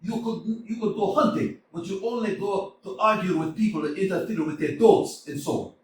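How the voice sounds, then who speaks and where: An actor in a community staged reading, playing a hot-tempered, bombastic old landowner father who shouts and scolds. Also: sits high, moderate pace, lightly acted. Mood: scolding. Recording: echoey hall from far off.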